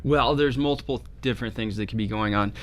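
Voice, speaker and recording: A man's voice: medium pitch, fast talker. Man explaining chiropractic health points. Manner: patient explainer, frequent tag questions. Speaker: chiropractor guest.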